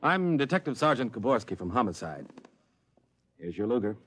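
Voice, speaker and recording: An actor playing a plain-spoken, streetwise homicide sergeant in a 1950s radio drama, matter-of-fact and folksy. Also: male voice, medium pitch, sing-song, moderate pace, a bit bright, breathy edge.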